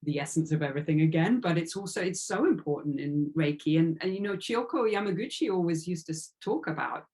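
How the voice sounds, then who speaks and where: A woman's voice: low-pitched, animated, fairly quick, few pauses, darkish tone. A woman giving a reflective interview as an author, speaking earnestly and tentatively and circling around her phrases.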